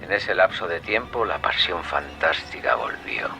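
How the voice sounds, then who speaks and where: Deep-voiced, chillingly calm killer. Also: male voice, vocal fry, over music, full character voice. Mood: cold, brooding. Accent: Spain. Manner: measured, coldly matter-of-fact, darkly sardonic. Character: calm, chilling serial killer recounting his crimes in an interview. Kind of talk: Spanish radio drama acting.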